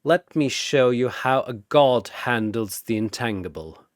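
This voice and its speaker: Suave villain god played by a male narrator: condescending, mock-courteous, unhurried, for a battle story. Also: medium pitch, animated, no room sound, studio quality, close to an everyday voice. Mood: smug. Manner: smug, mock-polite.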